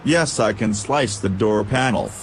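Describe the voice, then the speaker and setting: A man acts out a male command droid for a sci-fi audio drama. The voice is on the low side, dry and deadpan, with formal, literal-minded phrasing. Faint noise sits behind it. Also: measured pace. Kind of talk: scripted audio drama acting.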